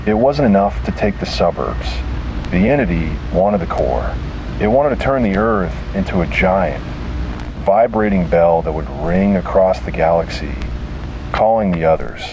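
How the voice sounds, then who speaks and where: Casting: male horror narrator, deep register, grave and measured delivery in short deliberate phrases, over a noisy recording. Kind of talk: scripted first-person horror story narration.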